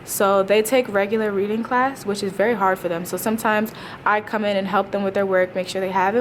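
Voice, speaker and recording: Woman, twenties, medium pitch, informal vlog talk, slangy wry asides, noticeably noisy recording. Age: 20s. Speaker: teacher and vlogger. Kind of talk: casual vlog chat.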